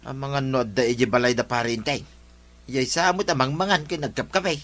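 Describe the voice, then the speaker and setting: A man's voice: medium pitch, brisk, fairly bright tone, close to an everyday voice. A man playing a nagging village husband in a comedy drama, with an Ilocano accent.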